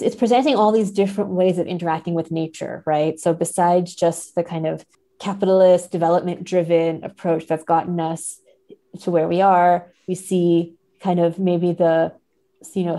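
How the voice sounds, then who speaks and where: Female podcast guest, soft-edged dark tone, slightly low, analytical and feeling for words. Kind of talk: analytical literary discussion.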